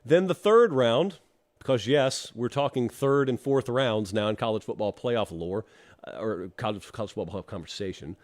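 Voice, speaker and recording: A man's voice: medium pitch, normal speed, darkish tone. A middle-aged man hosting a live sports talk show, his voice stuffed up and clogged from allergies. He talks in a loose, chatty way and drops dry jokes aimed at his live chat. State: congested from allergies. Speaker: college football show host. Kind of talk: live-streamed solo talk show with ad read.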